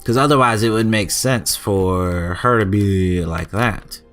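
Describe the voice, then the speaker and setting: A man's voice: lowish voice, measured pace, a bit bright, faint music behind. A man giving casual, chatty let's play commentary and reading game text aloud, stumbling now and then. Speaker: gaming channel host.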